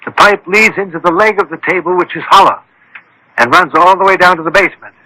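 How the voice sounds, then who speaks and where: A man's voice: sits high, fairly even intonation, fast, sharp tone, husky, exaggerated voice, rough recording. An actor playing a brilliant, methodical scientist detective in a radio mystery, precise and commanding as he explains. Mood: explaining, assured. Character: brilliant, methodical male scientist detective called the thinking machine. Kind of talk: radio mystery drama acting.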